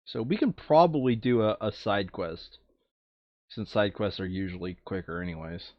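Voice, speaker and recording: A man's voice: lowish voice, normal speed, no echo, muffled recording. A man playing a board game, casually narrating the steps and muttering asides to himself as he works through them. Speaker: tabletop game player and narrator.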